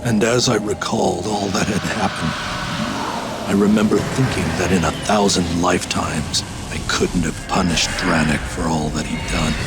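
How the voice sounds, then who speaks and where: An actor playing a grave, battle-worn hero, telling a galactic legend in measured, portentous game cutscene narration. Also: male voice, sits low, heavy background noise, natural voice. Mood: somber. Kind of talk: dramatic scripted cutscene narration. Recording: loud music under the voice. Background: loud music.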